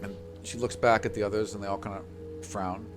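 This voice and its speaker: A man's voice: on the low side, flat delivery, a little fast, soft background music. A man narrating a tabletop roleplaying game casually as the game master.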